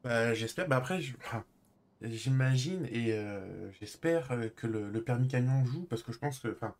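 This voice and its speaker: A man's voice: medium pitch, animated, normal speed, darkish tone. A man chatting casually in an online roleplay, in short hesitant sentences that trail off. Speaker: streamer playing a character in online roleplay. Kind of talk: casual in-character roleplay chat.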